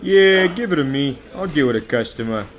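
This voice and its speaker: A man's voice: on the high side, lively intonation, normal speed, close to an everyday voice. A man playing a slick, brash talent scout in an amateur radio drama, with a salesman's hustling patter. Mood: brash, persuasive. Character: fast-talking showbiz scout, slick, brash opportunist.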